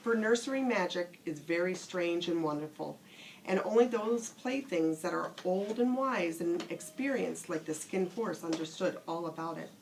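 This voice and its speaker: A woman's voice: on the low side, normal speed, gravelly, poor audio. A woman narrating a children's bedtime story, reading aloud from a storybook. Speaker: storybook reader. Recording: faint background noise, room ambience.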